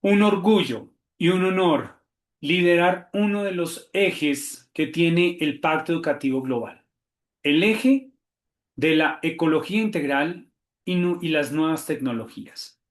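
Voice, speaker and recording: A man with a Colombian Spanish accent, a theology professor hosting a webinar, talking quickly and animatedly with frequent fillers and restarts. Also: on the high side, echoey room. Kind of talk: webinar presentation.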